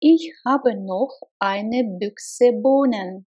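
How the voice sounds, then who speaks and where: A woman teaching phrases, saying each one slowly and deliberately and repeating it for learners. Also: on the high side, darkish tone. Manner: slow, deliberate, clearly spaced repetitions. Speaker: language teacher. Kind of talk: slow repeated phrase drills. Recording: slightly dull lo-fi sound.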